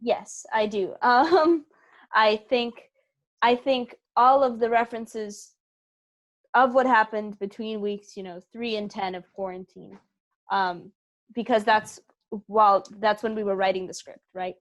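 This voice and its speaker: A woman's voice: medium pitch, steady tone, a little slow. A woman giving casual interview answers as a guest, thoughtful and emphatic as she clarifies point by point. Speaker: interview guest, theatre company member and writer.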